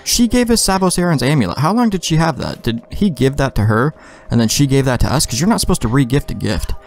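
A man's voice: mid-range voice, lively intonation, quick pace, faint music behind. A man doing casual gameplay commentary, thinking aloud in informal, mildly profane language. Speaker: let's play host.

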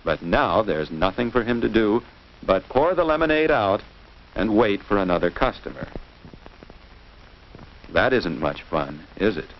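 A man's voice: lowish voice, big pitch swings, a little slow, long pauses. An American man reading scripted narration for a 1950s children's instructional film, in a didactic way, putting questions to young listeners. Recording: muffled, noisy old 1950s film soundtrack.

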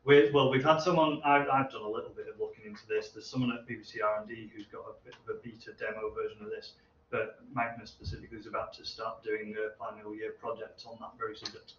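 A man's voice: fairly low pitch, little pitch movement, quick pace, few pauses, a bit bright, recorded from a distance. Man presenting in an echoey room. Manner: hesitant, explanatory. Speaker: seminar presenter and researcher. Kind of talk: informal question-and-answer after a talk. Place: university seminar room, indoors. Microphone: distant camera microphone. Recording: echoey room from afar, dull, hissy.

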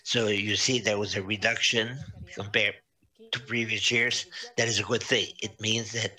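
Man, auditor, slow halting delivery, monotone, crisp bright tone. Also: on the low side. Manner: halting, with many pauses and fillers. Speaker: auditor presenting financial statements. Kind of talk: reading figures from slides to a council. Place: municipal council meeting over video link.

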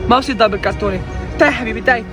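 A man's voice: very high-pitched, rapid-fire. A young man with a Levantine Arabic accent playing a concerned, kind rich man in an acted social media skit, outdoors. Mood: kind, earnest. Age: young adult. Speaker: actor in short moral skit. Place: outdoors in an urban car park. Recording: loud music over the voice.

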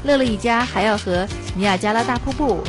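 A woman's voice: on the high side, fairly quick. A woman reading scripted narration for a television travel programme. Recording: music bed behind voice.

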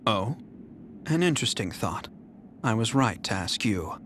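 An actor playing a cool, arrogant young male general in a video game, speaking in a calm, measured, haughty manner. His lines are clean studio game recordings. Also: lowish voice, a little hiss or hum. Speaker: game character. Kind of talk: game voice clips.

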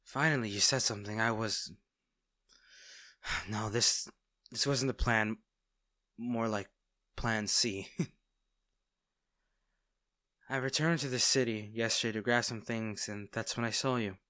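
A man's voice: on the low side, fairly even intonation, measured pace, pauses often, no room sound, clean studio sound. A man playing a tender, nervous villain in an intimate audio roleplay, stammering and hesitant as he speaks to the listener. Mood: sheepish. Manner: hesitant, stammering, soft-hearted. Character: smitten masked male villain, nervous, tender, teasing.

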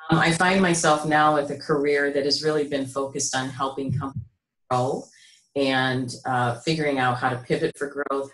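A middle-aged American woman with a rich, low voice, explaining her work in a measured, conversational interview style on a video call. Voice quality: rich, deep, low register. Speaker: guest, business consultant. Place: small room indoors at home or office. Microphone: computer mic on a video call. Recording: roomy, echoey video call sound.

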